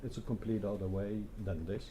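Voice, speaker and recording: Candid designer on a panel, low mellow voice, faint venue hum. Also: male voice, fairly even intonation, brisk, low-quality recording. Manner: candid, musing, checks in with "no?" and "huh?". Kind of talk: casual panel talk. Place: event venue in front of an audience.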